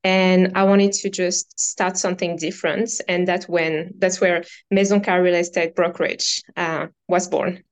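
A woman's voice: on the low side, level tone, normal speed, few pauses. A woman from France with a French accent, a guest on a podcast, answering earnestly with fillers and repeated phrases. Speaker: podcast guest, brokerage founder. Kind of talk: casual interview answers.

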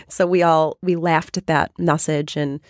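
A woman life coach hosting a podcast and explaining a method step by step, with a hoarse, raspy voice from a cold. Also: low voice, fairly even intonation, takes it slowly, dry recording, studio quality. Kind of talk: casual coaching talk.

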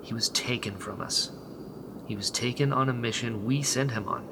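A man playing an earnest, protective rebel leader in a sci-fi radio drama, speaking reassuringly with breathy pauses. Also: medium pitch, noisy background, lightly acted. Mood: somber, determined.

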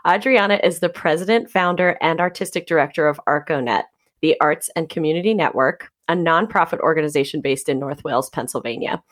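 A woman co-hosting a podcast, reading an upbeat guest introduction with enthusiasm. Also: low voice. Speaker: podcast co-host.

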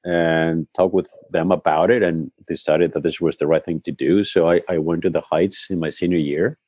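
Low, mellow Spanish-born man, reflective. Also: steady tone, moderate pace, dry recording. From Spain. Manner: reflective storytelling with frequent restarts. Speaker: head of school, guest. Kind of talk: conversational interview.

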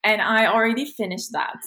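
A woman's voice: a little high, moderate pace, bright, forceful tone. Hesitant Turkish-born woman guest. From Turkey. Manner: hesitant, many fillers, laughs easily. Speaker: guest, content creator. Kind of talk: casual interview chat.

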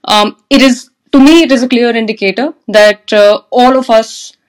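A woman's voice: on the high side, normal speed. A woman speaking as an energy expert on a discussion panel, enthusiastic, with frequent fillers and restarts. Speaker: panel guest, energy manager.

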